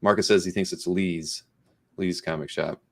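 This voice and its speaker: A man's voice: low-pitched, fairly even intonation, normal speed, gravelly. A man hosting a casual live interview, chatting in a hesitant way with restarted questions. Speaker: interview host.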